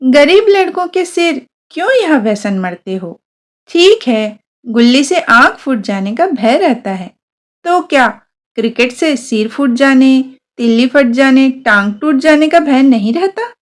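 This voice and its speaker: A woman reading a literary short story aloud as an expressive, measured audiobook narrator. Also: sits high.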